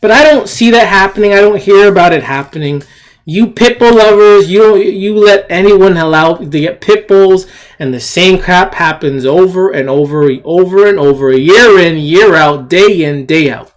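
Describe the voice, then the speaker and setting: Male commentator, voice on the higher side, unscripted and argumentative, repeats himself for emphasis. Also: normal speed. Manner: argumentative, scornful. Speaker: commentator hosting an opinion video. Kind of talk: unscripted opinion rant.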